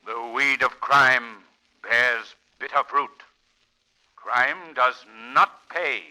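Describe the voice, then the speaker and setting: An actor in a 1940s radio mystery playing a sinister, taunting invisible crimefighter. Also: male voice, medium pitch, extremely slow, sharp tone, heavily acted, low-quality recording. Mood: ominous gloating. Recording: old radio broadcast with organ music.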